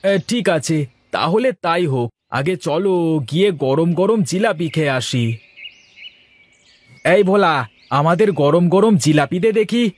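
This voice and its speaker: A man's voice: high-pitched, animated, a little slow, pauses often. A man voicing a cunning, pushy village trickster in a cartoon moral story. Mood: restless.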